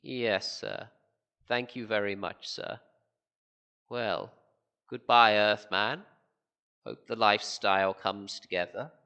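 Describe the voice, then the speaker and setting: An actor playing a meek, halting old male planet designer in an amateur audio drama, precious about his art. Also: mid-range voice, steady tone, sharp tone, clear, resonant tone, muffled recording.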